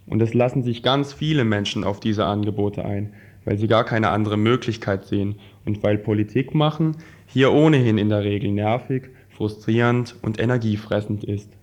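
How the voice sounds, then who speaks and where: A man with a fairly low voice reads a scripted political text aloud as a radio presenter. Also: normal speed, darkish tone.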